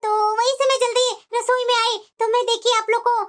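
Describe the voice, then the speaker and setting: A woman's voice: very high voice, little pitch movement, a little fast, a bit bright. A woman doing a sly scheming young bride. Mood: petulant. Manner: muttered asides, petulant when speaking aloud. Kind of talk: homemade family skit acting.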